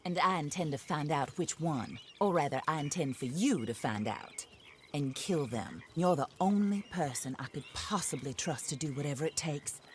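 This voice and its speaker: A cold, commanding widow in a western video game, formal and exacting, who lays out her plans for revenge without raising her voice. Her voice sits low and stays measured. A faint outdoor ambience sits behind her. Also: a little hiss or hum.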